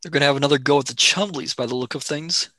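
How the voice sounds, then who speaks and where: A woman's voice: low-pitched, quick pace, a bit bright, no room sound. Young space-traveling companion, played by a woman: blunt, suspicious, questioning, close dry sound, radio drama. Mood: probing, blunt. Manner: direct, questioning. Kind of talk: radio play acting.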